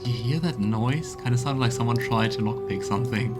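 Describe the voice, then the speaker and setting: A man streaming a video game, giving commentary and reading in-game texts aloud in a measured, storytelling way. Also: medium pitch. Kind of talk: let's play commentary and voiced reading. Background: video game music.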